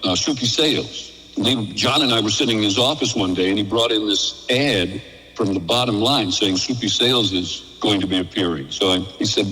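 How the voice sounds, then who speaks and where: An older American man with a bright, forward voice tells stories casually, as a radio veteran would in an interview. He is chatty and slips into quoted dialogue as he recounts things. The sound carries a long, hollow reverb. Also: fairly low pitch, moderate pace. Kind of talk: casual anecdotal storytelling. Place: remote call into a live radio broadcast.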